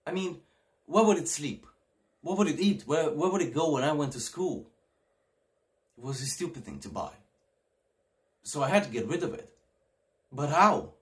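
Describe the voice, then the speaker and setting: A man's voice: medium pitch, pitched above the performer's own voice, close to an everyday voice. A man acting a disturbed, childlike young man, volatile. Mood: anxious, excited. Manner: halting, repeating words, swinging between hushed and excited. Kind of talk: theatrical audition monologue.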